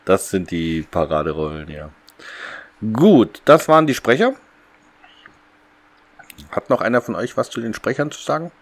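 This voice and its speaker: Lower-pitched man in casual podcast chat. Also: lively intonation, moderate pace, long pauses. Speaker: podcast co-host. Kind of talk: casual podcast discussion of an audio drama.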